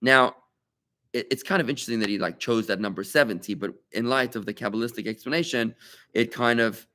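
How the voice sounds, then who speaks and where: Animated rabbi teaching a Talmud class. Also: male voice, mid-range voice, a little fast. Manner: animated, rhetorical questions, conversational asides to students. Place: class with students present.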